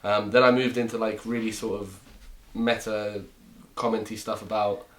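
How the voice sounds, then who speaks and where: A man's voice: on the low side, level tone, normal speed, poor audio. A man in his twenties from Romania, a comedian guest chatting casually and joking in a rambling way. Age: 20s. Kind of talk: casual chat.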